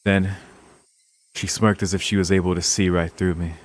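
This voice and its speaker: A man's voice: sits low, flat delivery, normal speed. A man streaming a visual novel, reading the narration aloud with dramatic, casual, sweary reactions. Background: game music.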